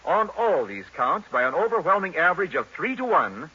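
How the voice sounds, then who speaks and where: A man's voice: on the high side, big pitch swings, moderate pace, pushed and bright, husky. A man announcing and selling in a 1940s radio style, emphatic and polished. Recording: muffled vintage radio broadcast.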